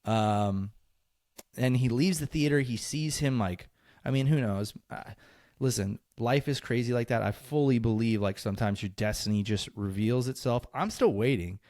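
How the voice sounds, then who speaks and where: A man's voice: mid-range voice, normal speed, darkish tone, no echo, studio quality. A young man co-hosting a podcast, chatting loosely with lots of fillers and laughs. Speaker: podcast co-host. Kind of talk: casual chat.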